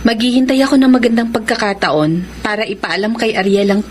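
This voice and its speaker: A woman's voice: medium pitch, a little fast, lightly acted. An actress with a Filipino accent playing a hesitant young woman torn in love in a radio drama. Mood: defensive. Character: young woman torn between seaman boyfriend and admiring friend. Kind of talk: radio drama acting with first-person narration. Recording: music bed, noisy radio drama audio.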